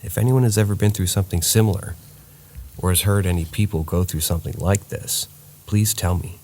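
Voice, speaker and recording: A man narrating true paranormal stories in a steady, somber reading voice. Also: low-pitched, brisk, slight background noise.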